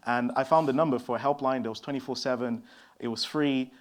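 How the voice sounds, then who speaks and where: A man from São Tomé and Príncipe giving a conference talk on stage, relaxed and full of fillers and restarts. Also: mid-range voice, fast. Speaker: conference speaker. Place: conference stage before an audience.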